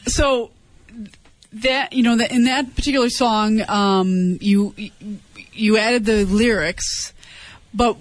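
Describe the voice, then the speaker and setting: A woman hosting a radio interview, curious and quick to laugh. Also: a little high, steady tone, low-quality recording. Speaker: radio host.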